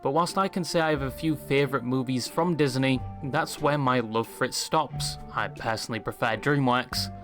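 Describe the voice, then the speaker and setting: A man's voice: medium pitch, moderate pace, few pauses. A man delivering scripted comedic video commentary in a blunt, sarcastic, opinionated way. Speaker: YouTube commentator. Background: clear music bed.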